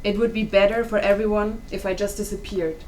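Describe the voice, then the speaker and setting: A woman's voice: mid-range voice, steady tone, a little fast, a little mellow, close to an everyday voice, rough recording. A young woman acting a mournful, regretful voice in a dramatic stage reading. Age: young adult. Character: mournful female echoing voice of regret. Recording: faint noise, live stage.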